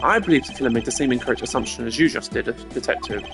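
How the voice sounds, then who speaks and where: A man voicing a cool, arrogant male prosecutor who talks in a measured, condescending, precise way, in a fan dub of a video game. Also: mid-range voice, lively intonation, creaky, music in the background. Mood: analytical.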